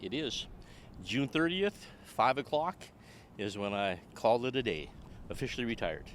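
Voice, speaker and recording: A Canadian man in his late sixties, a retired shop owner, telling stories about his family business in a relaxed way and laughing at his own jokes. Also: medium pitch, normal speed, slight background noise. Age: older. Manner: chuckling, self-deprecating. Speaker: guest. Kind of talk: casual storytelling.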